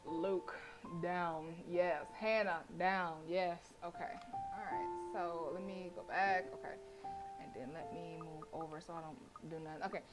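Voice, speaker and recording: A woman streams a horror visual novel, reading its lines aloud and breaking off to heckle the characters. She is brash, animated and freely profane. Faint music plays softly underneath. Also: lowish voice, a little slow. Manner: brash, animated and profane, with slangy trash talk. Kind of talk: live gameplay commentary and reading aloud.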